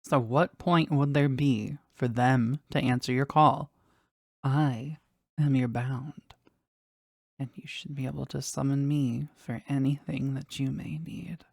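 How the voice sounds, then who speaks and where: A woman playing a powerful, jealous demon devoted to their master in an intimate audio roleplay, speaking deliberately and intensely in a husky, breathy voice. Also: a little mellow, no room sound, clean studio sound, full character voice. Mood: possessive, proud. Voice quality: husky, breathy, low creaky voice. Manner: slow, deliberate, intense, dramatic.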